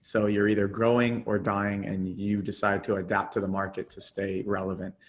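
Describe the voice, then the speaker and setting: A man's voice: fairly low pitch, little pitch movement, moderate pace. A man hosting a podcast interview, enthusiastic and praising as he sums up his guest's answers. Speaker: podcast host.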